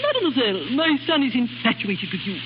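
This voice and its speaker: Haughty, high-voiced duchess. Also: female voice, sing-song, a little fast. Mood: imperious. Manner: imperious, formal, clipped. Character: haughty middle-aged English duchess, brisk and disapproving. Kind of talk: 1930s radio drama acting. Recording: muffled, noisy 1930s radio recording.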